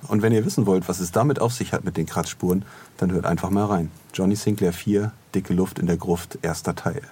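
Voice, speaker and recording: A man's voice: low-pitched, fairly even intonation, fairly quick, dark tone, husky. A man co-hosting a podcast in a casual, conversational style, often tagging remarks with little questions. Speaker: podcast co-host.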